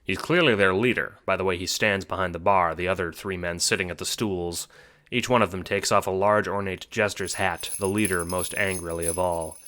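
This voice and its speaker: Male narrator for audio drama: very low voice with a sharp, bright edge, scripted storytelling. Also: steady tone, moderate pace.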